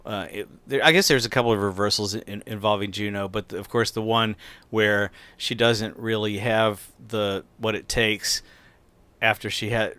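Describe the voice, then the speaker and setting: Crisp, bright-voiced middle-aged American man. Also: fairly low pitch, steady tone, moderate pace, no echo. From United States, near the Appalachian Mountains. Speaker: podcast host and film critic. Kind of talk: casual film discussion.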